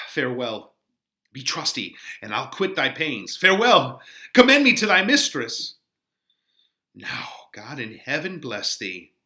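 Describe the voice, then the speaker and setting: Man performing young lover, earnest, theatrical, classical stage verse, forceful, very varied pitch. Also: very animated, measured pace, heavily acted. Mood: eager, secretive. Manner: theatrical, declaiming. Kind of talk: dramatic Shakespeare reading.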